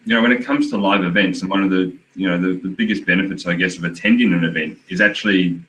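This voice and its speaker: A man answering as a panelist, measured and full of "you know" fillers. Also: low-pitched, a bit bright, rough recording.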